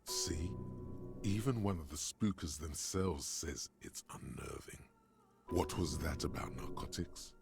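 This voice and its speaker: A man voicing the grave, measured narrator of a detective video game. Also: very deep voice, expressive, creaky, over music, full character voice.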